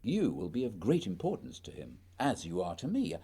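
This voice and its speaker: An actor playing a reserved, earnest polar explorer in a radio play, with measured, formal phrasing. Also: male voice, fairly low pitch, wildly swooping pitch, dark tone, breathy edge, heavily acted. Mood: earnest, warm.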